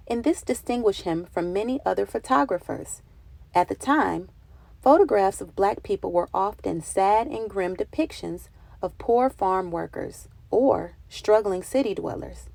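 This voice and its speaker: Creaky-voiced woman reading a children's storybook. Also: mid-range voice, mellow, no echo. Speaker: children's read-aloud narrator. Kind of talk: expressive storybook narration for children.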